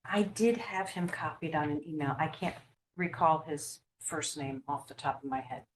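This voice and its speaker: A woman's voice: deep voice, normal speed, slight background noise. A woman on the town planning staff, patiently explaining the procedure at a public meeting. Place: town meeting room with people around. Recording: echoey room, voice from far off the mic.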